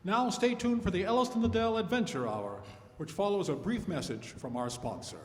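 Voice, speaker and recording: A man's voice: high voice, expressive, moderate pace. A man playing a showman host of an old-time radio music program, with a theatrical announcer delivery in a live hall. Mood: showy, upbeat. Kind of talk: 1900s-style radio announcing. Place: large reverberant hall, live stage radio show. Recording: echoey hall, live stage performance.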